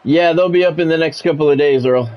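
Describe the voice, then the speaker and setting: A man's voice: on the high side, fast, lo-fi sound. A man doing football play-by-play as a game streamer, in short clipped announcer-style calls mixed with casual chat to viewers.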